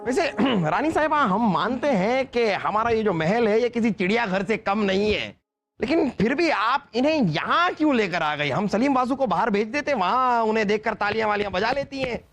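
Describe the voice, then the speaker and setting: An actor playing an exasperated, teasing emperor in a TV sitcom, with theatrical mock courtesy and drawn-out exclamations. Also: male voice, high voice, animated, very noisy. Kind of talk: broad TV sitcom acting. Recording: loud music over the dialogue.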